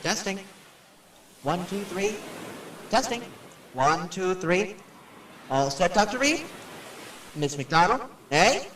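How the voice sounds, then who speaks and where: An actor playing a jokey, showy radio personality recording a ghost-hunting show in a radio drama. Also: male voice, high voice, big pitch swings, takes it slowly, long pauses, a bit bright, full character voice. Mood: checking, brisk. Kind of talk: radio drama acting, on-air announcer patter. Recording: echoey, distant, faint background noise.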